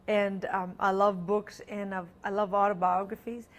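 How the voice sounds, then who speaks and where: A middle-aged woman, French her first language, talks as a guest in a casual interview. Her speech wanders and restarts, full of ums and easy laughter, at an ordinary middle pitch. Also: flat delivery, normal speed. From Alberta, Canada. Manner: chatty, many ums and restarts, laughs easily. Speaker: singer-songwriter guest.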